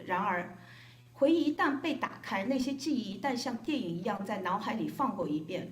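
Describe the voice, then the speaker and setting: A woman from Shanghai, a volunteer reading a prepared, reflective speech at a gathering. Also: mid-range voice. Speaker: volunteer speaker. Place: hotel event room. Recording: echoey event room.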